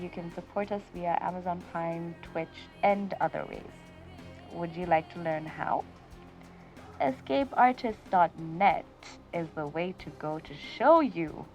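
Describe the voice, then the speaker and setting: A Kenyan woman hosts a fiction podcast in a slightly low voice. She talks expressively, leaning hard on key words and stretching them out. Soft music plays underneath her. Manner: expressive, emphatic, lingers on words. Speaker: podcast host. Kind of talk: warm outro chat.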